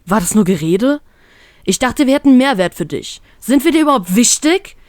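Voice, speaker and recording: A woman's voice: mid-range voice, animated, normal speed, no room sound, close to an everyday voice. A woman reader voicing a young male pirate crewmate who speaks in an upset, rising way. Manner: upset, getting louder.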